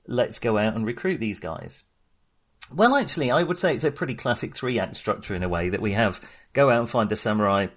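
A man talking casually and informally about films as a podcast co-host, with a chatty, mildly sweary manner. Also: fairly low pitch. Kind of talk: casual film discussion.

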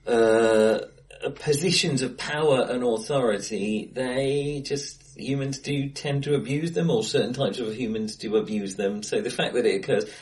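A man co-hosting a casual film discussion in a bright room, speaking in stop-start phrases with emphatic bursts. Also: mid-range voice, normal speed, poor audio. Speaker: podcast co-host. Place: acoustically bright room, face to face.

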